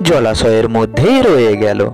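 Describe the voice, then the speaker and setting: A man's voice: fairly low pitch, animated, normal speed, darkish tone, soft background music. A man narrating a scripted children's fairy tale as a storyteller.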